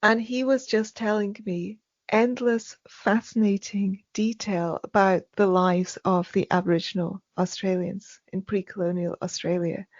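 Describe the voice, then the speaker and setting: A soft-spoken woman being interviewed, speaking haltingly with many ums and restarts. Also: mid-range voice, fairly even intonation, dry recording, lo-fi sound. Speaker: podcast guest. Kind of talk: casual interview conversation.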